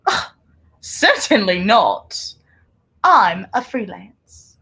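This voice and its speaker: A woman playing a mysterious, hunted secret agent in a 1930s radio play, intense and secretive, with deliberate theatrical delivery. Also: medium pitch, big pitch swings, gravelly, pushed and bright, slightly rough, full character voice. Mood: coy, teasing.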